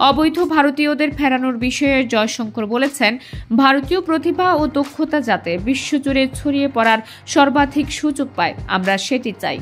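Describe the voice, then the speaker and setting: A woman with a Bangladeshi accent reads scripted news in a formal, measured manner. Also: sits high. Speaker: news presenter. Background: music under the voice.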